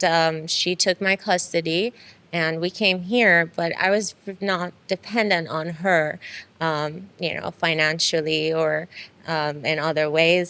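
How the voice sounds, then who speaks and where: Soft-spoken Iranian-born woman, smooth low voice. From Iran. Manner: soft-spoken, frequent um fillers. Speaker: guest. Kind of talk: casual interview.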